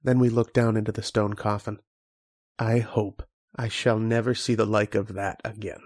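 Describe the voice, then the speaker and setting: A man playing a grave, haunted archaeologist in old-time radio horror, with dramatic, deliberate delivery. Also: fairly low pitch, flat delivery, a little mellow, natural voice. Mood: awed, horrified.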